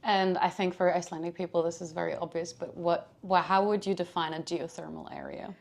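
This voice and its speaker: A woman host interviewing a guest in a casual chat, friendly and quick to laugh. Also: fairly low pitch, flat delivery, gravelly.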